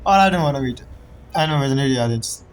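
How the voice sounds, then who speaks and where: A man streaming his reactions to a game story, excitable, exclaiming and swearing. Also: medium pitch, racing, a little hiss or hum, rough recording. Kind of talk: live gameplay reaction. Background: game music.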